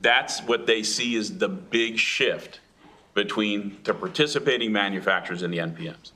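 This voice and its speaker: A man's voice: fairly low pitch, moderate pace, pushed and bright. A man testifying against a bill at a legislative hearing, firm and argumentative. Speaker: industry witness.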